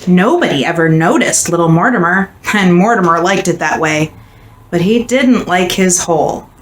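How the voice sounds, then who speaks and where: A woman reading a children's picture book aloud with expressive, dramatic and deliberate storytelling. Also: fairly low pitch. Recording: echoey room, faint background noise.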